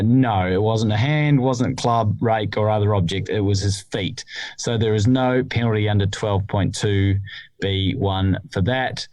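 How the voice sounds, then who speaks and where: A man's voice: deep voice, darkish tone, no echo. A man co-hosting a podcast, talking things through hesitantly with lots of fillers. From New Zealand. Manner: hesitant, many fillers, thinks aloud. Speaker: podcast co-host, rules explainer. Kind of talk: casual chat. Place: small hut at home, cold. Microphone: remote call recording.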